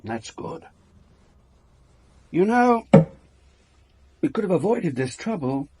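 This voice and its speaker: Wry, expressive police inspector. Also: male voice, high-pitched, slow pace, very noisy, heavily acted. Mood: reflective. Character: wry Amsterdam police inspector, an outsider, probing and world-weary. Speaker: lead actor and narrator. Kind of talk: radio drama acting with first-person narration.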